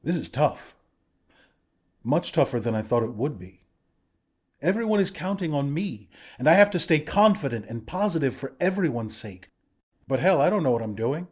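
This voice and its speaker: An actor playing an earnest, friendly young rebel leader in a video game, speaking in a sincere, conversational way. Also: male voice, on the high side, very animated, normal speed, a little mellow. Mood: confiding, self-doubting. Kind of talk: video game character dialogue.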